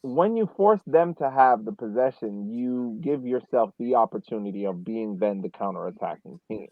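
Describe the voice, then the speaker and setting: A man's voice: medium pitch, animated, moderate pace, no echo. A man giving casual sports analysis as a podcast panelist, explaining his points step by step. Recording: dull phone-like band-limited audio.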